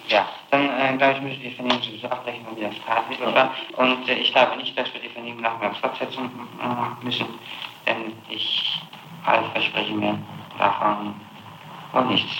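A man working as a prosecutor questions a suspect in a halting, insistent way, often tagging statements with a question. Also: medium pitch, little pitch movement, creaky. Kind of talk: spontaneous interrogation. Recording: noisy, echoey archival interrogation tape.

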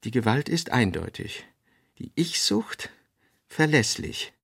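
A man reading fragmentary essayistic literary notes in a deliberate, clipped scripted radio delivery. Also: medium pitch, big pitch swings, vocal fry, a bit bright, husky, no room sound, studio quality.

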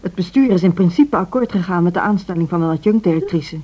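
A woman's voice: lowish voice, fast, breathy edge. A middle-aged woman acting a dedicated, earnest music school director in a Dutch social radio drama. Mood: earnest, persuasive. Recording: dull, band-limited radio drama recording.

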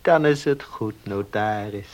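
A man acting in a Dutch radio play as a scheming, bossy village baker, insinuating and gruff in his deliberate talk. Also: lowish voice, lightly acted. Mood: slyly insinuating.

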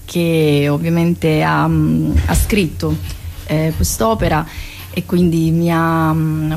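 A woman's voice: deep voice, darkish tone, a little hiss or hum. A woman answering interview questions hesitantly, choosing her words carefully. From Sicily, Italy. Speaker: actress, interview guest. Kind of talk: conversational interview.

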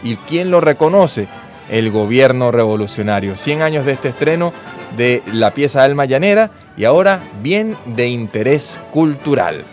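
A Venezuelan man speaks on a radio talk show at a medium pitch, with a Venezuelan accent. He talks in an earnest, patriotic, speechlike way. A faint music bed plays behind him. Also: animated, moderate pace. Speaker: radio host.

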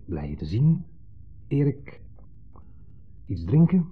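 A smug ex-husband in an old radio drama, needling and theatrically jovial. His voice is husky and fairly low, with insinuation under the pleasantries. The recording is muffled and narrow, with faint music. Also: very animated, takes it slowly, full character voice. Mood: nostalgic, needling. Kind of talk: 1970s Dutch radio drama acting.

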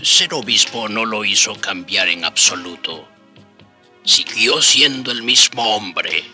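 A man's voice: lowish voice, slow pace, pushed and bright, faint music behind, heavily acted. A man playing a companion friar who narrates a radio drama as scripted storytelling. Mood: solemn storytelling. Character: companion friar recounting the old bishop's life.

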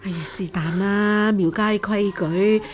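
A woman with a Hong Kong Cantonese accent acting a gentle, resigned middle-aged foster mother in a radio drama, sighing and soothing as she speaks. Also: medium pitch, steady tone, measured pace, faint music behind, lightly acted.

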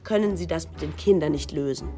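A slightly low-voiced female narrator, played by an actress in a radio documentary drama. She is wry and needling, making her points with pointed irony over faint music. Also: fairly quick, dark tone, natural voice. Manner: ironic, pointed.

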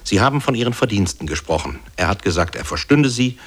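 Dry male narrator, very low pitch, husky, measured, radio play. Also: sing-song. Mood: matter-of-fact. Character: dry male narrator recounting an employee's petition. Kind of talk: scripted radio play narration addressed to "you".

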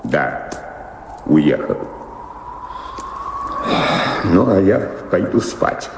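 An actor playing an indignant, scolding manservant of a noble house in a radio drama. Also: male voice, deep voice, very animated, very slow, creaky, exaggerated voice. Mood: grumbling reproach. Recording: reverberant hall sound with faint noise.

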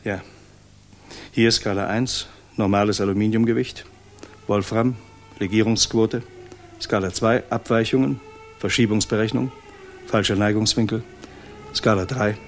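A man acting a reserved military engineer in a 1960s German radio drama, measured, clipped and guarded. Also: fairly low pitch, steady tone, a little hiss or hum. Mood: factual. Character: reserved, guarded male military aircraft engineer, coolly factual.

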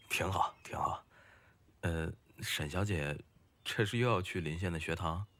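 A man's voice: low-pitched, takes it slowly, bright, forceful tone, heavily acted. A man playing a wistful young scholar turned fisherman in a period audio drama, courteous and poetic in his phrasing. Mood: shy, hesitant.

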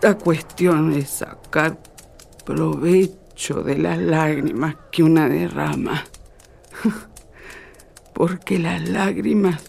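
Actress doing slow creaky old argentine country grandma, northwest accent, sad but wise, radio play, bit of noise. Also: female voice, deep voice, a little mellow, heavily acted. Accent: rural northwestern Argentine. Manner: plaintive, folksy, reflective. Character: sorrowful wise old village godmother and grandmother. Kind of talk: radio drama acting.

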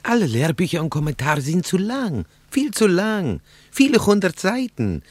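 An actor playing a nervous, eager young Russian interpreter in a radio play, speaking formal German earnestly. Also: male voice, sits high, big pitch swings, moderate pace, a little mellow, slightly rough, dry recording, heavily acted. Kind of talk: 1950s radio play acting.

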